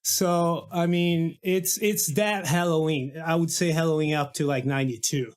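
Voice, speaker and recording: Animated Brazilian man guest. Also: fairly high pitch, normal speed. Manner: enthusiastic, talks in restarts and fillers. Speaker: guest musician. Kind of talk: casual podcast interview.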